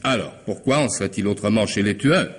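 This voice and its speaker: An actor playing a coolly polite, intelligent hired killer in a radio mystery drama, speaking deliberately and sardonically, with commanding theatrical pauses. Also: male voice, fairly low pitch, husky. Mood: probing, superior. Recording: noisy old radio drama recording.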